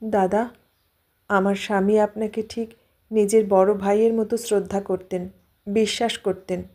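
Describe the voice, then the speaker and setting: A woman's voice: mid-range voice, level tone, measured pace, dark tone, natural voice. Woman acting an earnest, sorrowful young widow. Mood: respectful, sober. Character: grief-stricken young widowed sister-in-law, dignified and resolute.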